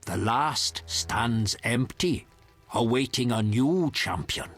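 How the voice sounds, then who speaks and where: An actor playing a solemn, reverent hermit in a fantasy video game, with formal, measured phrasing and a husky voice. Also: male voice, medium pitch, lively intonation, fairly bright tone, soft background music, exaggerated voice. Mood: solemn storytelling.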